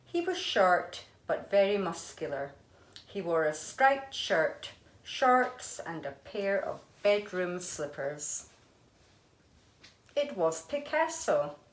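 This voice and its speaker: A woman's voice: lowish voice, big pitch swings, slow pace. A woman, an art teacher, reading a children's storybook aloud expressively. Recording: echoey room.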